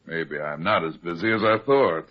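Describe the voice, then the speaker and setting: Male private eye, heavyset and unimpressed, low-pitched and curt actor in role, dull old radio sound. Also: moderate pace, lightly acted. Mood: shrewd, dry. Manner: dry, curt, gruff. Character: brusque, overweight male private detective, shrewd and unimpressed. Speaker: private detective. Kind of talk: old-time radio detective drama acting.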